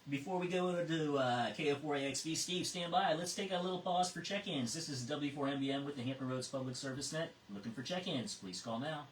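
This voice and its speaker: A man's voice: a little high, brisk, hardly any pauses. Guy running a ham radio net, casual, bit roomy and distant, repeats himself some. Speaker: net control host of amateur radio net. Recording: roomy, distant, with background noise.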